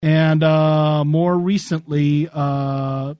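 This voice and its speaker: A man co-hosting in casual chat, with a hesitant, measured delivery. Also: on the high side, steady tone, darkish tone, smooth, dry recording, clean studio sound. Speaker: co-host.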